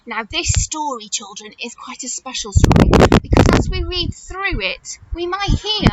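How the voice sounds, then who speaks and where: A woman reading a story aloud to young children like a nursery teacher, in an animated, exaggerated manner, often putting questions straight to them. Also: high-pitched, moderate pace, muffled recording, heavy background noise.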